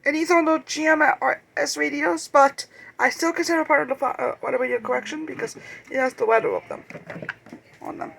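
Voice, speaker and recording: A high voice with a noticeable creak, speaking haltingly in a casual show-and-tell vlog. The delivery rambles along informally over faint noise and clicks. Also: steady tone, fairly bright tone, rough recording. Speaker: video creator showing a radio collection.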